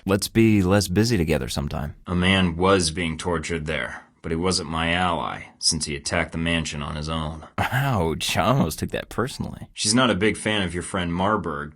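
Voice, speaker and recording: A man playing a cool, sardonic, unflappable secret agent in a video game, with dry, deadpan delivery. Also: low-pitched. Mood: flirtatious, wry. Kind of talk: video game dialogue, separate takes.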